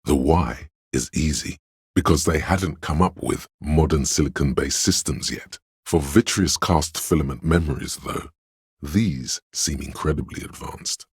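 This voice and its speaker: A pedantic, all-knowing inner voice from a video game. It is extremely low and dry in the recording, with no room around it. The delivery is measured and scholarly, laying out facts like scripted lore. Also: male voice, slightly rough, dry recording, heavily acted. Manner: measured, learned, didactic. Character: pedantic, erudite inner voice of encyclopedic knowledge. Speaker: game character voice, narrator of lore. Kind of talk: scripted narration, delivering trivia.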